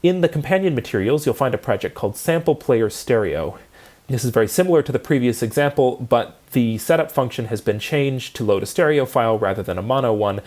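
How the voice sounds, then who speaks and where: Male lecturer, mid-pitched voice, explanatory and methodical instructional delivery. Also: expressive, a little fast, darkish tone.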